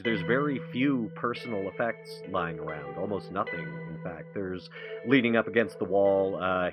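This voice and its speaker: A lower-voiced man speaks haltingly, pausing often as he lays out details during a tabletop game. His mic sounds dull and lo-fi, with music clearly playing underneath. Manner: halting, detailed describing with many pauses. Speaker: player. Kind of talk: tabletop roleplay play.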